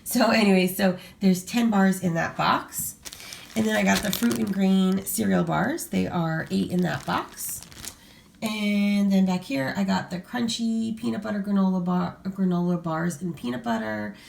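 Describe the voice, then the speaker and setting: A middle-aged woman with a hoarse voice from a cold, chatting casually to viewers with lots of fillers and restarts. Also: medium pitch, unhurried, darkish tone, slight background noise. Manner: rambling, many fillers and restarts. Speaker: vlogger showing a grocery haul. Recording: echoey room, voice a bit far from mic.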